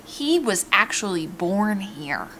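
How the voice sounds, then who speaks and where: An actress playing a chatty, nosy small-town diner waitress in an audio drama, with a folksy, familiar way of talking. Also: female voice, mid-range voice, sing-song, slow, bright, forceful tone, slight background noise, exaggerated voice. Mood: friendly, folksy.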